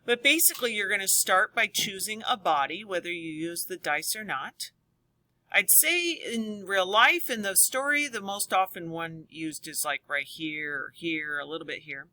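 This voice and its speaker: A woman's voice: mid-range voice, lively intonation, normal speed, sharp tone, no room sound. A woman teacher reading aloud and explaining to children, speaking expressively and addressing them directly. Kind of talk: children's read-aloud and lesson.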